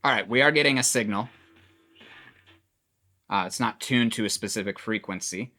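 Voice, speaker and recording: A man's voice: fairly low pitch, normal speed, bright, forceful tone, faint music behind. A man giving a casual, plain-spoken product review, explaining features as he demonstrates them.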